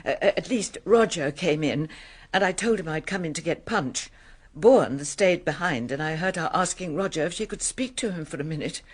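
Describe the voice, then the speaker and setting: A woman's voice: fairly low pitch, lively intonation, normal speed, slightly rough. A woman playing an anxious, self-justifying, snobbish older lady in a radio drama, hesitant and fussy. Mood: defensive, fretful.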